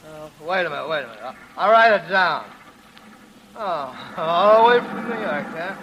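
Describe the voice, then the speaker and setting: An actor playing a drunken, grieving ex-husband, halting and repetitive, in 1940s radio drama style. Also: male voice, fairly high pitch, expressive, highs cut off. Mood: boozy, falsely casual. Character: drunken, grieving ex-husband who has killed. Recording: noisy old radio recording.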